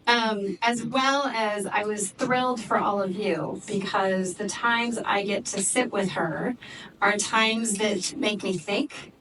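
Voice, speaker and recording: A woman's voice: medium pitch, slow. Moderator: an eager woman introducing a guest, picked up from across a noisy room. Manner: enthusiastic, many ums. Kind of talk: introducing a guest at a fireside chat. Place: office event space, live audience. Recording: distant mic with background noise.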